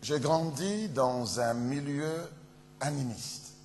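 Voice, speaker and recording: A man's voice: medium pitch, a little mellow. A man from Ghana, a pastor, giving a solemn testimony in a sermon. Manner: slow, solemn storytelling delivery. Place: church. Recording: reverberant church hall, faint hum.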